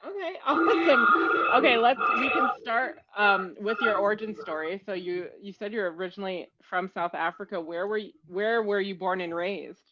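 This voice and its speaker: A woman's voice: medium pitch, normal speed, hardly any pauses, slight background noise. Woman podcast host, slightly dull audio. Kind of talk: casual conversational interviewing.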